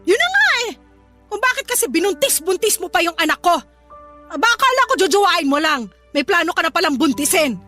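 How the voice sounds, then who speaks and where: A woman acting in a radio drama as a scornful, nagging older mother-in-law with a sharp, sarcastic, scolding manner. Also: very high-pitched, very animated, a little fast, soft background music, full character voice. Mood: indignant. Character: scornful, nagging older mother-in-law who belittles her daughter's partner.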